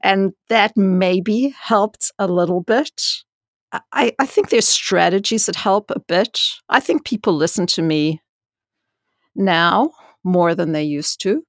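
A woman with a strong, loud voice and a gravelly fry at the ends of her phrases, speaking frankly and with animation. Her voice sounds close and dry, with no room sound around it. She lifts her pitch sharply whenever she stresses a point. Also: takes it slowly, no room sound. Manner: frank and animated, many "you know"s, rising to emphasis. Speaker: dean and scientist. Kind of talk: candid interview storytelling.

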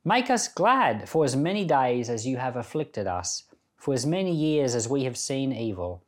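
A middle-aged man giving Bible reading and devotional commentary in an earnest, conversational way with deliberate phrasing. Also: mid-range voice, sing-song.

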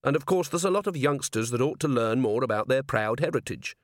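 Man playing a cheerful, earnest young watchman, animated pitch. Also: fairly quick, dry recording, studio quality, lightly acted. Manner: polite, guileless, enthusiastic.

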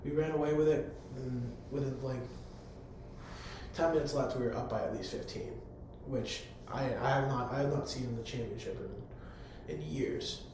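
A hoarse young man, a college public address announcer, answering interview questions in an eager, rambling way full of fillers. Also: mid-range voice, steady tone, normal speed. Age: young adult. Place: room on a college campus. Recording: very echoey room, faint background hum.

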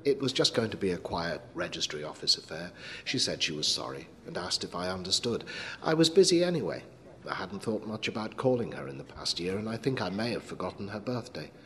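A man reading a short story as a dramatic narrator, in a measured and sombre manner. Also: deep voice, big pitch swings, fairly bright tone. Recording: long hall reverb, clear background noise.

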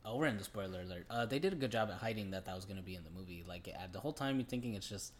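A man's voice: on the low side, lively intonation, rapid-fire, a little hiss or hum. A young man co-hosting a podcast, talking casually about films in informal, slangy language. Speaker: podcast co-host. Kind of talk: casual movie chat.

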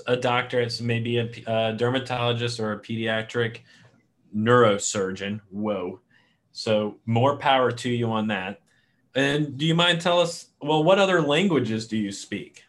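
A man's voice: medium pitch, a little slow, fairly bright tone. A man hosting an interview in an encouraging, upbeat manner. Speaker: host.

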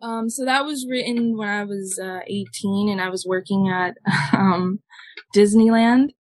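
A woman's voice: mid-range voice, fairly even intonation, a little slow, a little mellow, no room sound. A woman, chatting casually as a musician guest in a hesitant, self-deprecating way. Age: 20s. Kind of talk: casual interview chat.

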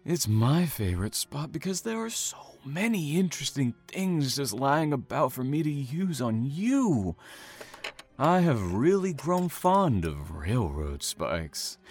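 Sadistic male killer, thriller drama: unhurried, swooping delivery, mock-polite menace. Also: mid-range voice, sing-song, darkish tone, soft background music. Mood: sinister relish. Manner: slow, taunting, mock-polite. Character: sadistic serial killer father, menacing and falsely tender. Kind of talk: audio thriller drama acting.

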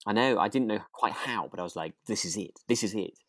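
A man's voice: fairly low pitch, brisk, a bit bright, dry recording, clean studio sound. A man co-hosting a podcast, recapping a drama in casual, agreeable chat with hesitant, trailing asides. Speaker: podcast co-host.

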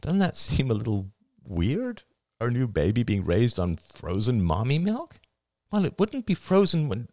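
A man's voice: medium pitch, wildly swooping pitch, a little slow, a little mellow, no echo, clean studio sound, voice pushed up. A man voicing an anxious, earnest young father who pleads with feeling in an audiobook reading. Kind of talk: audiobook dialogue.

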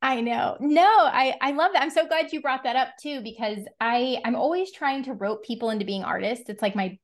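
A woman's voice: high-pitched, normal speed. A woman hosting a casual interview, affirming her guest and reflecting at length on her own ideas. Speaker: podcast host.

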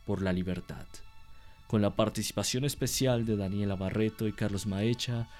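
A man narrating a scripted radio drama in a solemn, theatrical way with measured phrasing. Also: fairly low pitch, darkish tone.

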